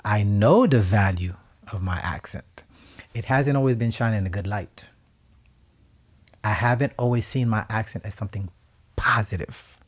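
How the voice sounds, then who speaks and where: A Haitian man co-hosting a radio talk show, speaking reflectively and with emphasis, sometimes becoming animated and laughing as he tells stories. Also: lowish voice, normal speed, long pauses, husky. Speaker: co-host.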